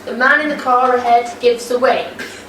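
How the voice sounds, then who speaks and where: A woman narrating the stage directions of an audio play in a plain, even reading. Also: a little high, moderate pace, reverberant, far from the mic, a little hiss or hum, low-quality recording.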